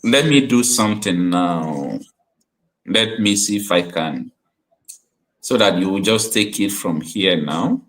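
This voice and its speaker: A man's voice: low-pitched, lively intonation, slow pace, fairly bright tone. Earnest man hosting a call-in. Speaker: online show host. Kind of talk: casual call-in chat.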